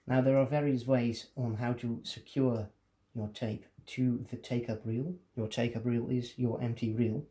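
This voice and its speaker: A man's voice: mid-range voice, flat delivery, measured pace, mellow. A man presenting a casual hands-on tutorial, explaining things step by step.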